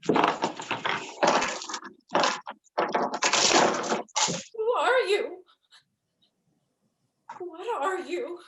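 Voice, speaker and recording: A woman's voice: very high voice, expressive, drawn-out words, very noisy, full character voice. A woman acting a terrified young captive who screams and pleads in a horror play reading. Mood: fearful. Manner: frantic, shrieking, pleading.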